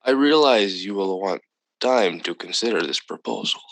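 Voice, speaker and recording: A calm, superior visitor from another planet in a radio drama. The voice is very low, with formal, measured, condescending delivery. The sound is close and dry. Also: male voice, dry recording, rough recording. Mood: coolly menacing. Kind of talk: old-time radio drama acting.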